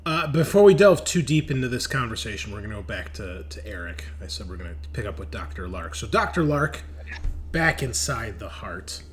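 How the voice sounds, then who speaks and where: A man's voice: fairly low pitch, big pitch swings, brisk, music in the background. A man narrating a tabletop roleplaying game as game master in a casual, conversational way. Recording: clearly noisy background.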